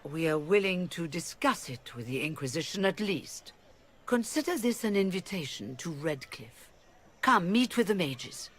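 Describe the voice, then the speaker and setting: An actress playing a poised woman who leads the mages, speaking in a measured, courteous but shrewd way. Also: fairly low pitch, lively intonation, slightly rough. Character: poised, guarded woman mage leader. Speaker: leader of the mages.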